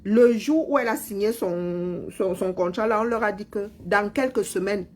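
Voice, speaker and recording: Scolding, mocking woman with a husky voice. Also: mid-range voice, moderate pace. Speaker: commentator replying to a politician. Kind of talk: heated live-stream rant.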